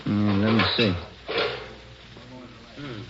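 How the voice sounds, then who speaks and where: A man's voice: lowish voice, fairly even intonation, extremely slow. A man playing a laconic, casual American police detective, the partner in a 1940s radio crime drama. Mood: musing. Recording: dull, noisy, crackly 1940s radio recording.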